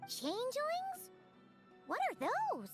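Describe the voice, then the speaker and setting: A tiny excitable fairy sidekick for a game. The voice is extremely high and childlike, swooping with animated curiosity over clear background music. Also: female voice, slow pace, heavily acted. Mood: puzzled, curious. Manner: speaks of herself in third person, inquisitive. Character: small, excitable, childlike floating fairy companion. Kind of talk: video game voice acting.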